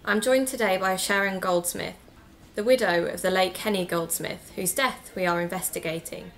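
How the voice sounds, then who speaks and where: A woman's voice: mid-range voice, fairly even intonation, normal speed, a bit bright, natural voice. An actor playing an earnest, polite woman who investigates mysteries for a podcast, in scripted audio drama. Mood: polite, sympathetic. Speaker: podcast host and narrator.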